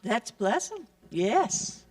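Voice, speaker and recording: A woman making gentle announcements and blessings during a church service. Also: a little high, sing-song. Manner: slow, gentle, blessing-style delivery. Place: church sanctuary. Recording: voice picked up from a distance.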